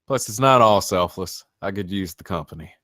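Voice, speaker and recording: A man's voice: low voice, moderate pace, a bit bright, no echo, clean studio sound. A man reading a guarded, tough but generous character in a screenplay table read, terse and offhand. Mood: friendly, insistent.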